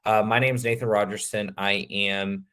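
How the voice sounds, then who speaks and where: A man presenting a webinar, his voice a little raspy and hoarse, talking casually with many fillers. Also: fairly low pitch, level tone, moderate pace, fairly bright tone. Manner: casual, filler-heavy, loose explanations. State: slightly hoarse.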